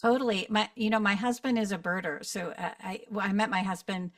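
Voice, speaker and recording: A woman's voice: medium pitch, steady tone, brisk, no room sound, studio quality, recorded from a distance. A middle-aged woman, a voice actor, talking casually on a video call, rambling with restarts and laughs. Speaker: narrator and voice actor guest. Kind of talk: casual online panel chat.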